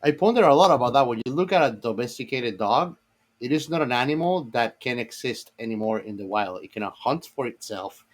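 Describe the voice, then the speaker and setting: A man from the Dominican Republic speaks as a podcast panellist. His voice is of average pitch. He gives his views casually but emphatically, often repeating a phrase to press it home. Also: lively intonation, a little fast. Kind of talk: casual opinionated discussion.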